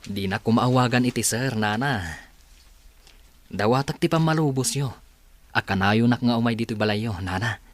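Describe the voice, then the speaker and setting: A man's voice: mid-range voice, normal speed, long pauses, no echo, natural voice. A man playing a respectful but insistent young heir and suitor in a radio drama.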